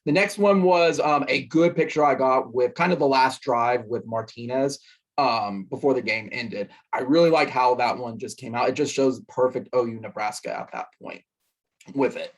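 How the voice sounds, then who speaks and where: Hoarse man chatting about a game. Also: mid-range voice, normal speed. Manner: chatty, rambling with fillers. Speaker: sports podcast host. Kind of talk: casual game recap.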